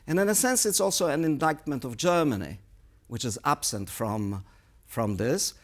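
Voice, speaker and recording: A man speaking as a guest analyst in a current affairs interview, explaining things in a measured, analytical way. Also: fairly high pitch, lively intonation. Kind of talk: studio interview commentary.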